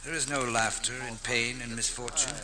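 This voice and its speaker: A man's voice: medium pitch, fairly even intonation, moderate pace, over a noisy crowd, close to an everyday voice. An actor playing a gallant, kindly nobleman swordsman, speaking theatrical archaic English in an old radio drama. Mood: gentle, courteous. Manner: theatrical, archaic courtly speech. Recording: noisy vintage radio recording.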